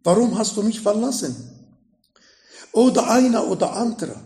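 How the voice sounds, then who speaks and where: A man with an Arabic accent preaching a sermon in slow, emphatic phrases that rise sharply in pitch. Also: expressive, dark tone, roomy sound. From Middle East. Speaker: pastor.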